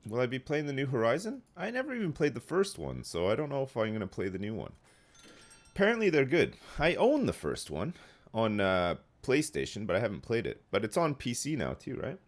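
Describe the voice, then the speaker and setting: A man's voice: medium pitch, huge pitch swings, a little fast. A man in his thirties streaming a game live, phlegmy and rambling as he answers chat. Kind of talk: casual live gameplay chat.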